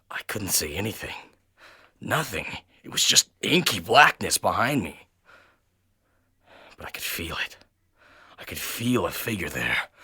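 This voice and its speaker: A man reading a first-person horror story in an intense, theatrical manner that swings between hushed and loud. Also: low-pitched, breathy edge, dry recording, clean studio sound. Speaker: narrator and reader of a horror story.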